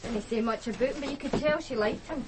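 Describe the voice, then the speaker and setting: A woman's voice: fairly high pitch, fast talker, background noise, natural voice. An actress playing a naive, defensive young Glasgow woman in a naturalistic radio drama, speaking colloquially. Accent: Scottish, Glasgow.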